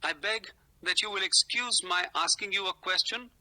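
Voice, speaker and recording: A woman's voice: medium pitch, normal speed, sharp tone, poor audio. A woman voicing a timid little prince in a storybook, a polite and curious young boy speaking earnestly. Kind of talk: storybook character voice.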